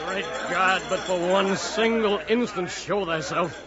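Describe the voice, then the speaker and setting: An actor playing an earnest, pious ship's mate, pleading with solemn theatrical foreboding in an old-time radio drama. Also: male voice, high voice, unhurried, over a noisy crowd. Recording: muffled, noisy old radio drama recording.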